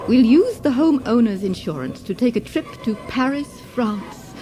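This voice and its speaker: An actress playing a breezy, scheming housewife who gives instructional advice in a comic radio skit. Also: female voice, fairly high pitch, lively intonation, normal speed, dark tone, natural voice. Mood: bright, breezy. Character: Missus Handy, chirpy scheming housewife advice-giver. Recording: clear music behind the voice.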